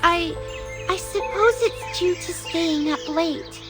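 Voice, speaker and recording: A woman's voice: extremely high pitch, steady tone, slow pace, over music. A woman playing a sweet, caring young girl companion in a fantasy game, polite and a little hesitant. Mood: caring, shy. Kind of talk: dubbed fantasy game cutscene acting.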